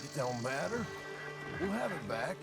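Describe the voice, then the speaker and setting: An actor playing a gruff but earnest frontier outlaw turned rancher in a video game, with an American accent and a gravelly, plainspoken, halting delivery. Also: male voice, a little high, very animated, over loud music, exaggerated voice. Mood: easygoing. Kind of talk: dramatic video game acting.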